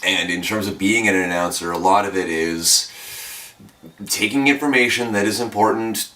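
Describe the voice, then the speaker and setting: Deep-voiced young man, echoey room. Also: moderate pace. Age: 20s. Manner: relaxed, reflective, with fillers. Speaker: radio announcer. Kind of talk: documentary interview. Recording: echoey room, mic at a distance.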